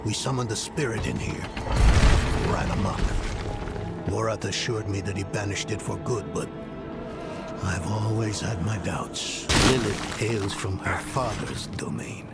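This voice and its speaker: An actor playing a weary, self-doubting older scholar-mage in a fantasy game, gravelly and halting, with a brooding manner. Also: male voice, lowish voice. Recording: loud music under the voice.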